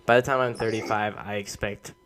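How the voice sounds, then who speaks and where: A man's voice: on the low side, fairly even intonation, a little fast, a bit bright. A young American man talking casually as a co-host on a podcast. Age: young adult. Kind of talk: casual chat.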